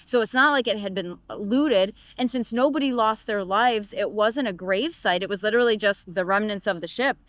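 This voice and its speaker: A woman's voice: mid-range voice, normal speed, hardly any pauses, a bit bright, no echo. Chatty woman with a Michigan accent. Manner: chatty, thinks aloud with fillers. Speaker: travel vlogger. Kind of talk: casual travel chat. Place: outdoors at a park.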